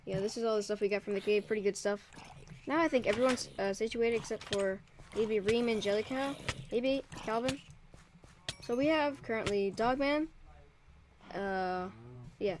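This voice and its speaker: A man's voice: sits high, slight background noise. A young man, probably a teen, casually commentating a Minecraft let's play as server host, rambling and easily startled. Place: home room by a window. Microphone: desk mic, drifts off it.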